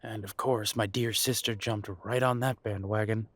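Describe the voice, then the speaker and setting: A man's voice: on the low side, steady tone, normal speed, fairly bright tone, no echo. A man playing a wounded young werewolf son in an audio drama, confrontational and sardonic with his parents. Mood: angry, accusing. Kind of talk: audio roleplay drama acting.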